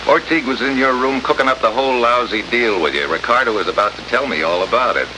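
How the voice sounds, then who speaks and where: An actor playing a tough, wisecracking American special agent in an old radio drama, sardonic and slangy. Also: male voice, mid-range voice, quick pace, natural voice. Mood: needling, hard. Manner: sardonic, hard-boiled, slangy. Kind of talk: 1950s radio drama acting. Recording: noisy old radio recording, heavy hiss.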